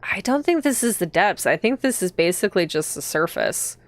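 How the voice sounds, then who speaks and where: A woman's voice: lowish voice, very animated, normal speed. A woman streaming a visual novel, reading it aloud and chatting with sympathetic, gushing asides over game music. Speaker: streamer, virtual YouTuber. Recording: music behind the voice.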